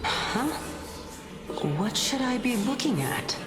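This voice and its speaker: A woman's voice: on the high side, very animated, slow pace, over music. An actress playing a haughty, teasing female Titan spirit in a video game, speaking in a grand, languid, needling way with an echo effect. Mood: curious. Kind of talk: English video game voice acting.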